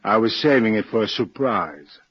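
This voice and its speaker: An actor playing a fussy nightclub racketeer boss with a husky voice, falsely polite and quietly threatening, in an old-time radio drama. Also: male voice, on the low side, expressive, moderate pace, dry recording. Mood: controlled, polite. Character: smooth-talking, fussy, menacing male nightclub racketeer boss. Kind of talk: 1940s-50s radio acting. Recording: dull, dry old radio recording.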